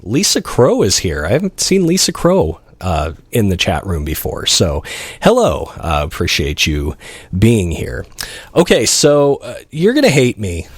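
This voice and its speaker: Man, radio DJ, casual live chat, rambling asides, very animated intonation, dry studio sound. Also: fairly low pitch, unhurried, breathy edge, no room sound. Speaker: radio host and DJ.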